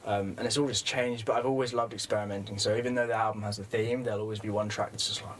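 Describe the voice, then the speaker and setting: A man's voice: lowish voice, steady tone, quick pace, a bit bright, a little hiss or hum. Rambling, self-deprecating young man. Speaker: guest musician. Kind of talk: casual interview chat.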